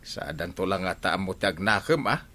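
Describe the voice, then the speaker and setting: An exasperated Ilocano grandfather from a village radio comedy, acted by a man. His voice is fairly low and bright, with lively rises and falls as he finds fault with stubborn kin. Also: a little fast, a little hiss or hum. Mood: grumbling.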